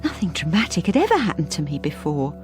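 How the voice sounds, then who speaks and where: An actress playing a troubled middle-aged British wife who speaks hesitantly and confidingly in a radio drama. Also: female voice, low voice, sing-song, fast, faint music behind. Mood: wry, confiding. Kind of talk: intimate first-person radio drama narration and dialogue.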